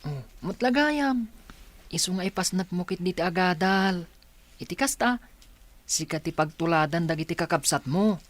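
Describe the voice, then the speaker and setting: A man's voice: sits high, animated, moderate pace, a bit bright, no room sound. A man acting as a supportive young friend in a radio drama, earnest and encouraging.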